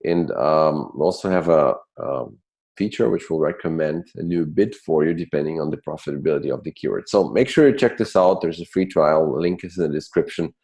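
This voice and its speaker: A man hosting a casual interview show, speaking in a somewhat halting way. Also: extremely low pitch, darkish tone. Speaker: podcast host.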